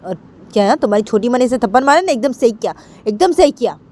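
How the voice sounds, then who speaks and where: A woman's voice: on the high side, expressive, fast, a little mellow, voice pushed up. A woman voicing a disapproving uncle in a family story, admonishing firmly and repetitively.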